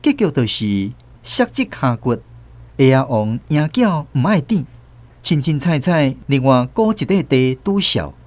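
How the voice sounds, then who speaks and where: A man's voice: mid-range voice, big pitch swings, measured pace, darkish tone, dry recording. A man with a Taiwanese accent narrating a first-person story dramatically for a radio drama.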